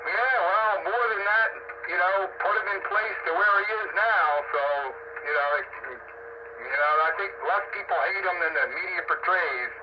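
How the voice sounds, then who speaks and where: A man's voice: sits high, normal speed. An American man in casual, opinionated discussion, speaking bluntly and emphatically. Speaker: radio discussion participant. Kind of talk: casual opinionated political chat. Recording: dull, noisy radio-style audio. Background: strong background noise.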